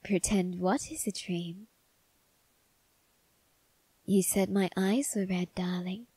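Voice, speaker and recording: Suave male vampire acted by a woman, ASMR roleplay, soft breathy half-whisper, close dry sound, coaxing, quietly menacing. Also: medium pitch, fairly even intonation, slow, no room sound. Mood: seductive menace.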